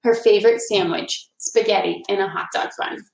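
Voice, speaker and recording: A woman hosting a read-aloud for children, speaking in an encouraging, deliberate storytelling manner. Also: medium pitch, steady tone, vocal fry, a little mellow, slight background noise. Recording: echoey, reverberant room.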